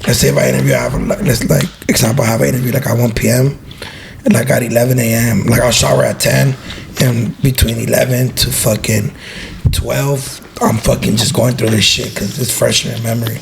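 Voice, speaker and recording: A man's voice: mid-range voice, little pitch movement, brisk, creaky, background noise. A man in his twenties from Los Angeles, a guest on a casual podcast, talking loosely with lots of slang, fillers and swearing. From Los Angeles, United States. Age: 20s. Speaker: guest, online video creator.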